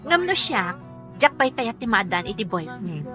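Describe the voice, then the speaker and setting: Bright-voiced, sensible young Ilocano woman. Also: mid-range voice, big pitch swings, normal speed, faint music behind. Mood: firm. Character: sensible, dutiful young working woman, firm and practical. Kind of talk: Ilocano radio comedy-drama acting.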